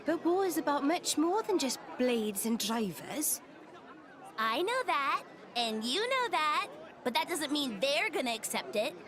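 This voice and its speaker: Blunt, high-voiced young companion. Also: female voice, sing-song, a little slow, crowd noise, background noise. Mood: serious. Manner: matter-of-fact, dry. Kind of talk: video game cutscene acting.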